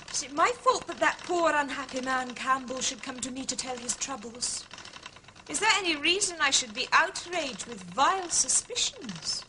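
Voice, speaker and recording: A high-voiced, haughty English wife. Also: female voice, background noise. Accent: upper-class English. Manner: haughty, clipped, theatrical. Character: proud, indignant, haughty wronged English wife. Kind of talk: radio drama acting.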